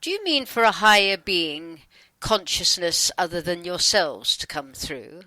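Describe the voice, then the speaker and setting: A woman's voice: lowish voice, animated, unhurried, bright, forceful tone, no room sound. A woman reading her side of a channelled dialogue in an informal, wry, conversational way. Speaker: channeller putting questions to spirit beings.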